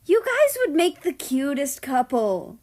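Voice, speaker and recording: A woman voicing an excitable, mischievous fanged fangirl with gushing, slangy talk in a story reading. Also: sits high, measured pace, pitched above the performer's own voice. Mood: gleeful.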